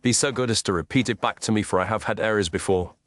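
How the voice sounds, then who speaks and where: A man voicing a coolly commanding gentleman detective in an audio story, formal, clipped and incisive. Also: on the low side, quick pace, no room sound, close to an everyday voice. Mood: cool, careful.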